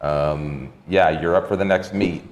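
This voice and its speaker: A man's voice: extremely low pitch, steady tone, unhurried, muffled recording, slight background noise. A man hosting a live stream, chatty and reading viewer comments aloud. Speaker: host. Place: indoor co-working space.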